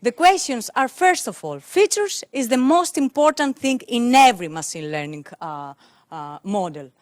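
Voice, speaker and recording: A young woman whose first language is Greek gives a technical conference talk into a handheld mic in a conference room, pausing often with fillers and restarts. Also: fairly high pitch, animated, no room sound, clean studio sound. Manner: hesitant, many fillers and restarts. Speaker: conference speaker.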